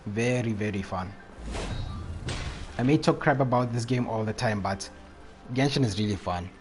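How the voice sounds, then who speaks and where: A man's voice: lowish voice, normal speed, a little mellow, faint music behind. A man live streaming a video game, chatting casually in slangy, repetitive phrases. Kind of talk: casual live chat while playing.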